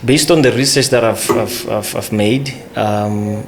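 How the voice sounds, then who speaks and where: A man's voice: lowish voice, steady tone, unhurried, a little hiss or hum. A man speaking plainly in a casual podcast conversation, often giving short words of agreement. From South Africa.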